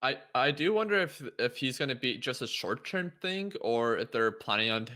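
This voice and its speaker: A man's voice: medium pitch, animated, moderate pace, bright, forceful tone. A man co-hosting a casual football podcast, leading the segments and asking the others for their views. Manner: steers the talk, asks the others. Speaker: co-host.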